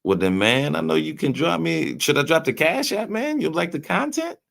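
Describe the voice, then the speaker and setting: Gravelly-voiced man hosting a livestream. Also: a little high, big pitch swings, moderate pace, fairly bright tone. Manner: loose and joking, talks to viewers in chat by name. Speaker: livestream host. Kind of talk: casual live-stream commentary, reading chat.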